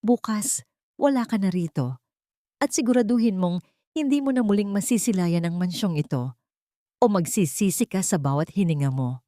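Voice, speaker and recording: A woman's voice: medium pitch, animated, normal speed, long pauses, mellow, dry recording, studio quality, close to an everyday voice. A woman voicing a stern, menacing old matriarch of a rich family in an audio story, cold and commanding. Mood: cold, threatening.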